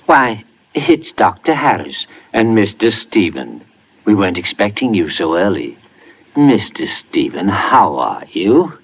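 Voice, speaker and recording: Stuffy deferential butler, swooping intonation, unhurried, vintage lo-fi radio recording. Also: male voice, lowish voice, very animated, exaggerated voice. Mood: courteous. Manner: formal, deferential, hesitant. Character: deferential, fussy male family butler, medium-sized, Dickensian. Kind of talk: 1950s radio drama acting. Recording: muffled 1950s radio recording.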